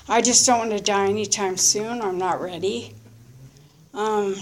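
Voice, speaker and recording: A woman in her early fifties with a slightly high voice speaks very slowly. She shares a candid, unscripted personal testimony. Her delivery is reflective and halting, built from short confessional phrases. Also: a little hiss or hum. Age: early 50s. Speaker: recovering alcoholic sharing her story.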